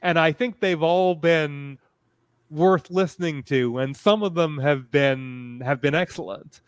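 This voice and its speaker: Slow, smooth-voiced man discussing thoughtfully. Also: on the high side, no echo, clean studio sound. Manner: hesitant, halting, thoughtful phrasing. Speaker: panelist. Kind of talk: fan panel discussion.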